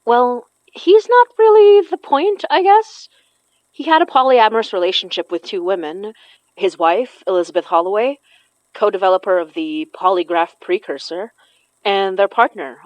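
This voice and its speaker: A woman's voice: medium pitch, no echo, natural voice, poor audio. A woman acting in an audio horror drama as an earnest, knowing spirit medium who blames herself. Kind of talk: audio fiction podcast acting.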